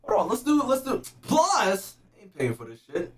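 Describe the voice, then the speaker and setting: A man's voice: high voice, huge pitch swings, normal speed. A young man giving casual livestream game commentary, slangy and profane, with playful rants. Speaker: game streamer.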